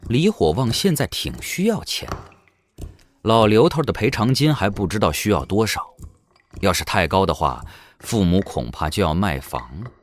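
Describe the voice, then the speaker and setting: A man's voice: lowish voice, sing-song, normal speed. A man reading scripted, dramatic narration for a fantasy audio drama.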